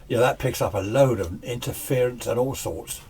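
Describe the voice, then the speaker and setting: A man's voice: mid-range voice, normal speed, darkish tone, breathy edge. A British man giving a casual, rambling, off-the-cuff demonstration as an amateur radio hobbyist in a home radio room. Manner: rambling, conversational. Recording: noisy room, radio static behind.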